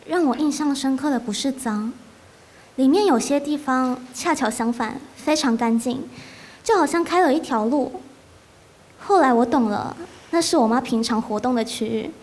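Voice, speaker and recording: A woman's voice: sits high, moderate pace, darkish tone. A woman doing a reflective grown daughter. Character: adult daughter reflecting on her mother. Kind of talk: reflective monologue in a radio drama.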